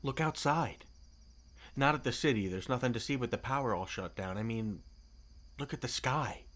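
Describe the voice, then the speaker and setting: A man's voice: medium pitch, expressive, normal speed, fairly bright tone, a little hiss or hum, lightly acted. An actor playing a kind, concerned young male neighbour in an audio roleplay, speaking in a hesitant, informal way. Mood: tender wonder. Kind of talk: naturalistic audio roleplay acting. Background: music.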